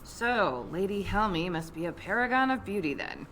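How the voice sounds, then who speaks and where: An actress playing a surly, sharp-tongued tavern woman in a fantasy video game, needling with sarcasm. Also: medium pitch, lively intonation, normal speed, background noise, natural voice. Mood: scornful. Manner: sardonic, needling.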